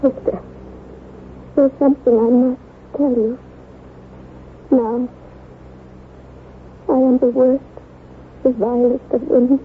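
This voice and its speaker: An actress playing a frail, guilt-ridden wife in an old-time radio melodrama, speaking with solemn, mournful drama. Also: female voice, high voice, level tone, slow pace, long pauses. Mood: weak, remorseful. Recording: tinny, noisy vintage radio recording.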